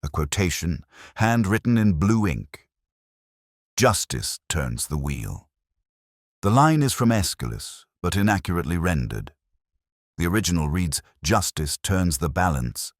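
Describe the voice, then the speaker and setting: A man narrating a scripted first-person detective story in a measured, solemn, literary manner. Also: sits low, very animated, dry recording, studio quality.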